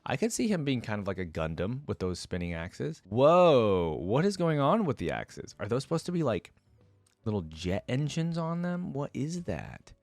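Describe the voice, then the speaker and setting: A man's voice: mid-range voice, wildly swooping pitch, moderate pace, darkish tone, no echo. A man giving casual, off-the-cuff reaction commentary as a video host, thinking aloud in an informal, wryly bemused way.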